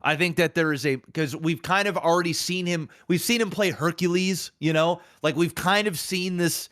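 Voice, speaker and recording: A man's voice: a little high, normal speed, a bit bright, dry recording, studio quality. A man hosting a casual podcast, talking in an opinionated, emphatic way with plenty of slang and swearing. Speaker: podcast host.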